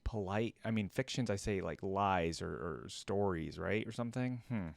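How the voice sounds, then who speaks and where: Guy doing chill reaction commentary, lowish voice, thinking out loud, dry close sound. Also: moderate pace, gravelly, no room sound. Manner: musing, often repeats quoted lines back. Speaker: reaction video host.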